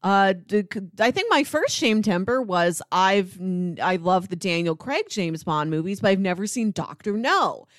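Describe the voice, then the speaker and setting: A woman's voice: medium pitch, animated, normal speed, few pauses, dry recording. Female podcast co-host, casual chatter, playful mock-earnest delivery, close dry sound. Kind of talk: casual movie podcast chat.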